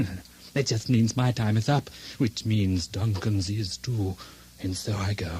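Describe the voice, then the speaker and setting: A man acting a bumbling, easily led Scottish thane in a comic radio drama, hammy and dithering, with muttered asides. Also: on the low side. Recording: hissy, noisy vintage radio drama recording.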